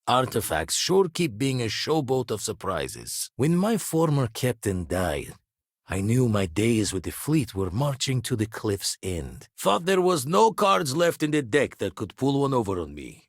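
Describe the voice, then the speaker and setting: A man voicing a weathered, shrewd ex-pirate spacefarer in a video game, guarded but loyal, with a husky voice and theatrical, slangy turns of phrase. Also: mid-range voice, wildly swooping pitch, a little slow, no echo, clean studio sound, full character voice. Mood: relieved, reflective. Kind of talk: scripted game character dialogue.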